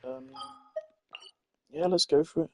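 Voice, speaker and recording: A man's voice: on the high side, slow pace, background noise. A man giving casual gameplay commentary, rambling as he thinks aloud. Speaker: gamer.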